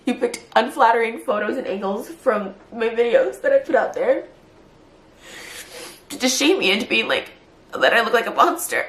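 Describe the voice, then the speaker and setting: A woman in her thirties, short on sleep and hurt, talking fast in a response vlog and filling her speech with "like". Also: fairly high pitch, fairly bright tone, echoey room. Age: 30s. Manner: tired, hurt and fast-talking, with many "like" fillers. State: short on sleep, near tears later. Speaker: YouTuber.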